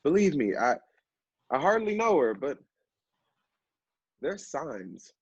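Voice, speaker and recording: An actor playing an earnest, emotional man who knows addiction firsthand, speaking haltingly in a stage play. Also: a little high, sing-song, husky, full character voice. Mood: earnest, urging. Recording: roomy, dull stage recording.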